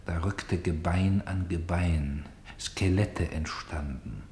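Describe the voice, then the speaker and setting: An actor playing a grave, sorrowful storyteller in a radio play, with solemn and deliberate phrasing. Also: male voice, deep voice, darkish tone, slight background noise. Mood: solemn, prophetic. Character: grave, sorrowful male storyteller bearing witness to tragedy.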